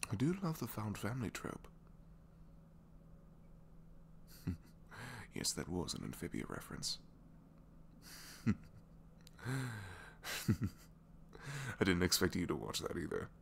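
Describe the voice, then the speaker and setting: A man's voice: low-pitched, big pitch swings, normal speed, vocal fry, long pauses, fairly bright tone, slight background noise, voice pushed up, heavily acted. A man playing a reluctant, secretly kind supervillain inventor in an ASMR roleplay, hesitant, wry and self-deprecating, rambling and sometimes dropping toward a whisper. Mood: shy amusement. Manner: hesitant, wry, rambling, trailing tag questions, sighs, drops to whispers. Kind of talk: ASMR roleplay audio drama, one-sided conversation.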